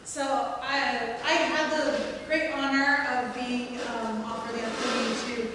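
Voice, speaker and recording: A woman's voice: fairly high pitch, level tone, measured pace. A woman leading an informal talkback as dramaturg, addressing an audience. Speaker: dramaturg leading a feedback session. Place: large indoor theater hall, distant microphone. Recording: distant, very echoey hall, clear noise.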